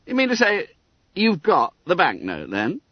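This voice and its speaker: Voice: mid-range voice, huge pitch swings, a little slow, no echo, highs cut off, voice pushed up. Sceptical, jeering schoolboy, voiced by a storybook reader. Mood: sceptical, mocking. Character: sceptical schoolboys, incredulous and mocking.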